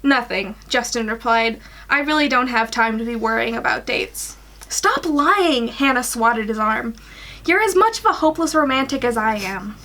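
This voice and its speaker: A woman's voice: a little high, animated, moderate pace, bright, forceful tone, poor audio. A woman in her twenties reading a fan story aloud and chatting informally in a small room at home. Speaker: guest reader on a fan fiction livestream.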